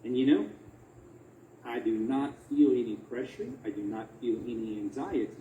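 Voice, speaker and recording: A man's voice: medium pitch, moderate pace, warm tone. A man giving a conversational academic talk as a researcher, emphatic, repeating phrases and asking rhetorical questions. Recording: roomy echo, faint background noise.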